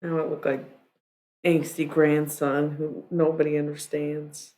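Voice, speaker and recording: A woman's voice: low-pitched, little pitch movement, a little slow, darkish tone. A young woman from Michigan chatting casually on a live stream, joking about herself and breaking into rap lines. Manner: joking, self-mocking, freestyles rap lines.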